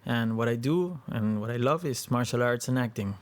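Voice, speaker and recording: Young man, from venezuela, actor, hesitant, searches for words, mid pitch. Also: moderate pace. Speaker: film crew member, actor. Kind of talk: interview answers. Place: hotel room.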